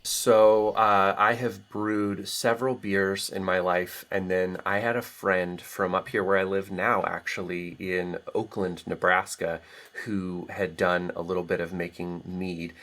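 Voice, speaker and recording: A man's voice: low voice, flat delivery, a little slow, fairly bright tone. A middle-aged American man talking casually and reflectively as a guest author in an interview.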